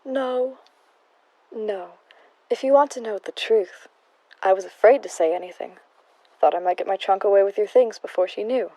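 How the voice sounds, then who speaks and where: A woman's voice: mid-range voice, normal speed, long pauses, mellow, no room sound, lightly acted, poor audio. A young woman acting an uneasy, plain-spoken housemaid in an audio stage play, folksy and plaintive. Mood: subdued, regretful. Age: young adult.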